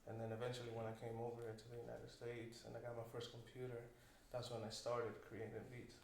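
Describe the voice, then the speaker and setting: A man's voice: mid-range voice, completely flat delivery, fast talker, gravelly, a little mellow. Young man producer, distant roomy sound. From Dominican Republic. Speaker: music producer guest. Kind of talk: casual podcast chat. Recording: roomy, distant mic with faint noise.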